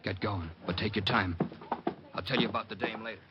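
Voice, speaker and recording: An actor playing a curt, bossy, gruff thug in an old-time radio crime drama. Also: male voice, lowish voice, fairly even intonation, a little fast, close to an everyday voice. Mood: terse. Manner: clipped, gruff tough-guy talk. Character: curt, bossy hold-up thug, the one in charge. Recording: noisy, clicky vintage radio recording, muffled.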